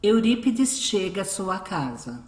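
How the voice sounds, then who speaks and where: A woman's voice: medium pitch, sing-song, slow pace, darkish tone. A woman with a Brazilian accent reading scripted narration for a radio drama. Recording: roomy echo, music behind.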